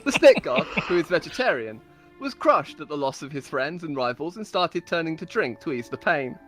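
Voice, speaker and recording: A woman's voice: sits low, very animated, normal speed. Woman storyteller reading mock-epic tales over music. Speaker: storyteller on a game server. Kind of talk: dramatic reading of comic fantasy stories.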